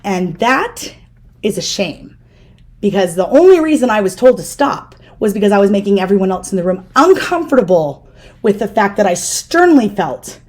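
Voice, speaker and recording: A middle-aged woman hosting a casual interview, talking in a loud, firm and direct way. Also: medium pitch, big pitch swings, normal speed. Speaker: podcast host.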